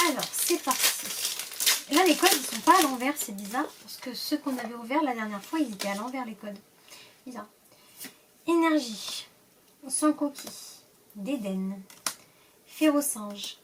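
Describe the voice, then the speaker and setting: A woman's voice: fairly high pitch, expressive, unhurried, long pauses, distant mic, background noise. Stuffy-voiced woman chatting over card packs. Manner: chatty, hesitant, trailing off and reading card names aloud. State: stuffy from a cold. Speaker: Pokémon card collector opening packs. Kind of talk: casual pack-opening commentary.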